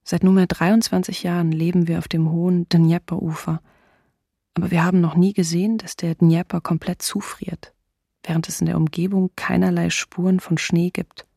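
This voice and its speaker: An actress playing an observant diarist in a radio drama, reading literary entries reflectively in a husky voice. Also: female voice, lowish voice, level tone, moderate pace, warm tone, dry recording, studio quality. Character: observant older female diarist, marvelling at winter.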